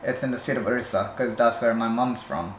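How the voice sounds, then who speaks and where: A man with an Indian accent talks in a relaxed, conversational way at a mid pitch. The room around him is echoey, and faint ambience sits behind his voice. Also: a little fast, a little hiss or hum. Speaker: vlogger co-host. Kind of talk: casual chat.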